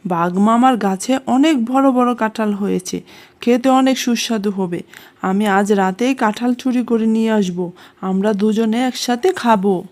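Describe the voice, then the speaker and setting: A sly male cartoon fox, acted by a woman, with a highish voice. He is cocky and mischievous, bragging about his cleverness and brushing off every worry. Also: measured pace, a little mellow, close to an everyday voice. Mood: eager, confident. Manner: boastful, breezily dismissive. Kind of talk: children's cartoon character acting.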